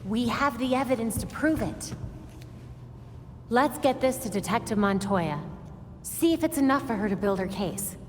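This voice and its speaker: A woman's voice: fairly high pitch, expressive, normal speed, long pauses, lightly acted. Earnest young vigilante woman, acted, noisy room. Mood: determined. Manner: earnest, businesslike. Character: determined young female vigilante detective. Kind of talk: dramatic video game cutscene acting. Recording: reverberant room with clear background noise.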